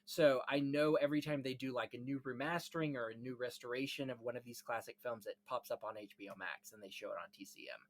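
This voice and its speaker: A man's voice: mid-range voice, fairly even intonation, fast talker, hardly any pauses. A man hosting a casual film history discussion in an earnest, informative, chatty way. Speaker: podcast host, film history enthusiast.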